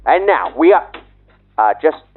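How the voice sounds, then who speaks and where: Thin tinny old radio sound, guy doing a folksy flustered 1930s announcer man, formal, pitch swinging all over. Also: sing-song, unhurried, breathy edge, full character voice. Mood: folksy, promotional. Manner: folksy, formal, hesitant when interrupted.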